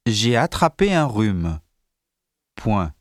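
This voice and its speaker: A man reading a school dictation text slowly and deliberately, speaking the punctuation marks aloud. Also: lowish voice, very animated, no echo, studio quality. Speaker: dictation reader.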